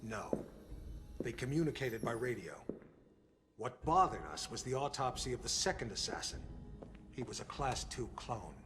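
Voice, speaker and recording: An actor playing an embittered, proud older intelligence chief in a video game cutscene, speaking with deliberate, sardonic authority. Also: male voice, medium pitch, animated, music in the background, natural voice. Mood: grave, explanatory.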